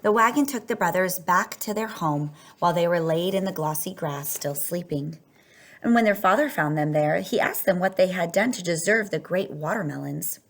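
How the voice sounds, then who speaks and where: A woman's voice: on the low side, normal speed. A woman reading a children's picture book aloud, telling it expressively and stretching words for emphasis. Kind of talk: read-aloud children's story narration.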